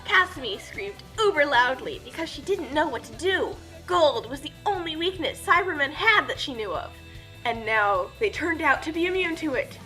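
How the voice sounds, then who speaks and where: High, bright woman narrating a comic epic. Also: expressive, moderate pace, faint music behind, poor audio. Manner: mock-epic, theatrical. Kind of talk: animated comic reading of a scripted fan fiction story.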